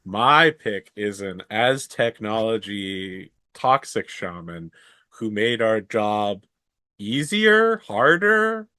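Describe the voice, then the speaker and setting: A man's voice: lowish voice, big pitch swings, slow pace, pushed and bright, no room sound. Wry joking man, dry sound. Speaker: show host. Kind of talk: casual chat between players.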